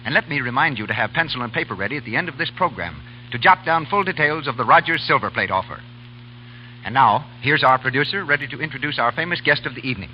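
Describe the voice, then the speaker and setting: A man announcing a radio program in a smooth, polished, formal way. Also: mid-range voice, animated, quick pace. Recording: muffled 1930s radio broadcast with faint hiss.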